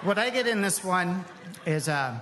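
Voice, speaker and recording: A man's voice: high-pitched, expressive, moderate pace, very noisy. A man speaking as an expert guest in a live interview, describing things on stage. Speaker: guest, professional cheesemonger. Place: stage before a live audience.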